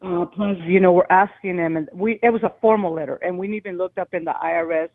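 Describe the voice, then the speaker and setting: A woman calls in over a tinny, thin phone connection, her loud voice pushing through a long, rambling, agitated story. The sound is dry and close, with no room around it. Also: fairly low pitch, quick pace, dry recording. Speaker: caller. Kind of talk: call-in show phone call.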